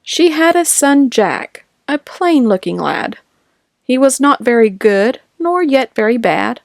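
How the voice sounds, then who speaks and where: A woman reading nursery rhymes aloud from a picture book in a measured, sing-song manner. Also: sits high, sing-song, vocal fry, no echo, clean studio sound. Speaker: storybook reader.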